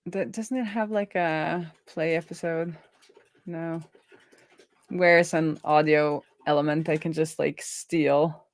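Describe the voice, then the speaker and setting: A woman live-streaming as a programmer, thinking aloud in a casual, halting way as she mutters through her work. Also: deep voice, steady tone, no echo. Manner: halting, muttering to herself while working.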